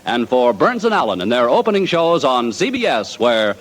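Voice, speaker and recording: A man's voice: mid-range voice, very animated, moderate pace. A man announcing in 1940s radio style, delivering a promotional read. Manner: smooth, promotional. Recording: old radio broadcast with music.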